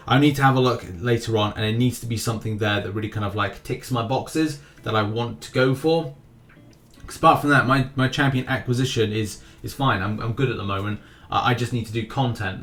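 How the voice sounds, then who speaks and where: A man's voice: mid-range voice, fairly bright tone. A man hosting a gaming news video with casual commentary, rambling a little and repeating phrases for emphasis. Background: background music.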